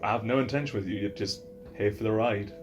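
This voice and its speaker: A man's voice: fairly low pitch, fairly even intonation, fast, dull audio. Jokey male gamer, music playing behind. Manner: crude, jokey interjections. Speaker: player in a tabletop game. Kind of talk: casual joking chat.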